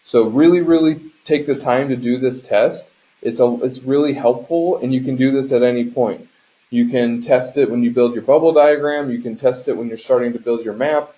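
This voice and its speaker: Male instructor, mid-pitched and mellow, explanatory delivery with frequent check-ins, muffled conference-call sound. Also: steady tone, moderate pace. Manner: explanatory, often checks in with "right?". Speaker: game design instructor. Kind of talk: instructional lecture over a shared screen. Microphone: conference call microphone. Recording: dull, lo-fi conference call audio.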